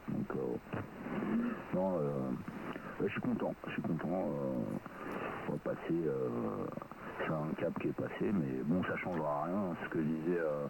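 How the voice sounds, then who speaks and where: A man chatting casually over amateur radio with a hesitant, rambling delivery. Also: a bass voice. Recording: very noisy radio transmission.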